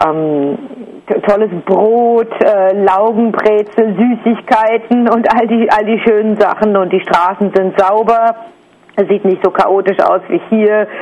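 A German woman in her forties answering interview questions thoughtfully, pausing often with fillers and restarts. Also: lowish voice, highs cut off. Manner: hesitant, many fillers and restarts. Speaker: interview guest, artist.

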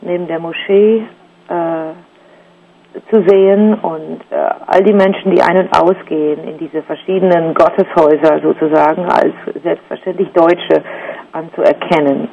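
A German woman in her forties answering interview questions thoughtfully, pausing often with fillers and restarts. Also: lowish voice, fairly even intonation, vocal fry, lo-fi sound, slight background noise. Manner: hesitant, many fillers and restarts. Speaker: interview guest, artist.

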